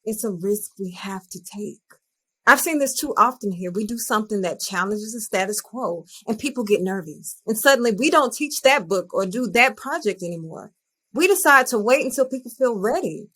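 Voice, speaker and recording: A woman's voice: medium pitch, expressive, moderate pace, sharp tone, husky. An actress playing a determined, principled female teacher in a podcast drama. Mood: determined, urging.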